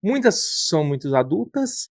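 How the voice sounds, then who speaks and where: A man's voice: a little high, very animated, moderate pace, dry recording, clean studio sound. A man hosting an interview, prompting with questions and recalling shared memories. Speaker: podcast host, interviewer. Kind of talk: casual interview.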